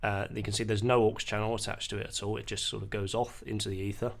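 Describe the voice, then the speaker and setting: Low-voiced man giving a hesitant tutorial. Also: little pitch movement, vocal fry. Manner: hesitant, explanatory, pausing mid-sentence. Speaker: music producer giving a tutorial. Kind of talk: unscripted screen-recorded software tutorial. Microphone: voice-over on a screen recording.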